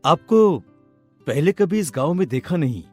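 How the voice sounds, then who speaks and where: A woman voicing a polite young man, the son of a village landlord, with a lowered voice. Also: expressive, moderate pace, darkish tone, breathy edge, soft background music. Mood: curious. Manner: polite, curious. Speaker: narrator voicing characters.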